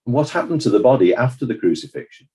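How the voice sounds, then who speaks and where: A man playing a formal, probing examiner putting deliberate questions in a courtroom drama. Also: medium pitch, very animated, a little mellow, lightly acted. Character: formal, probing male examiner at a legal inquest.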